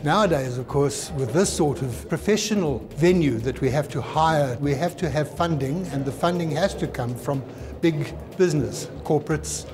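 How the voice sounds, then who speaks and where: A man's voice: a little high, moderate pace, crowd noise. A man speaking as an organiser in an interview, with formal, considered phrasing. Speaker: festival organiser.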